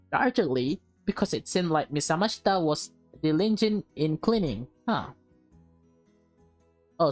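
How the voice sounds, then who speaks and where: A woman's voice: deep voice, no room sound. A woman hosting a let's play, reading a visual novel aloud with chatty asides to viewers. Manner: chatty, addresses viewers directly.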